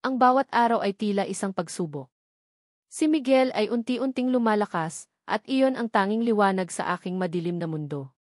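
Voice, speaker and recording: A Filipino woman reads a dramatic love story in the first person. Her pitch is medium and the sound is very dry, with no room around it. She gives dialogue and narration in one and the same voice. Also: moderate pace, no room sound.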